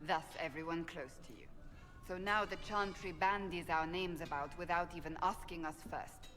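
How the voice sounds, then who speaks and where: An actress playing a stern, dignified woman of high religious standing, speaking formally in a video game. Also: fairly bright tone, roomy sound, faint music behind, natural voice. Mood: stern, measured. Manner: formal, measured. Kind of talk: dramatic video game dialogue.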